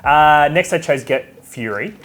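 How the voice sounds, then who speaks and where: A man co-hosting a casual chat, talking in a playful, chatty way, his voice rough from a cold. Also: fairly high pitch, animated, measured pace, a bit bright, faint music behind. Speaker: co-host.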